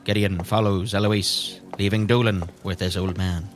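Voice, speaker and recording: A man's voice: low voice, fairly even intonation, normal speed, over music. A man narrating a tabletop roleplay game as its game master.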